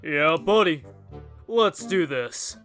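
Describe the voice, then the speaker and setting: Man playing a brash bro-talking young daredevil, animated. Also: fairly high pitch, slow pace, faint music behind. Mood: excited, goading. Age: young adult. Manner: bro-talking, goading, cocky. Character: Rich, brash young male daredevil, cocky jokester. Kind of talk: cheesy horror audio drama acting.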